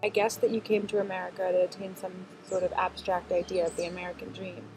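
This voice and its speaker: A woman narrating a documentary, calmly stating her assumptions about the people she films. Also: on the low side, fast, soft background music, low-quality recording. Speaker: interviewer voicing her assumptions.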